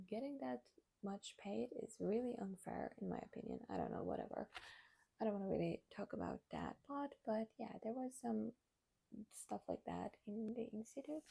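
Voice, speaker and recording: A woman in her twenties from Iran, a native Armenian speaker, talking candidly to camera in a personal vlog, hesitant and soft, with many fillers and restarts. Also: medium pitch, gravelly, breathy edge. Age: 20s. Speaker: vlogger.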